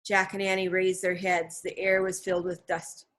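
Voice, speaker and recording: A woman reading scripted narration from a children's novel in a measured, expressive storytelling manner. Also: lowish voice. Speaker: storybook reader.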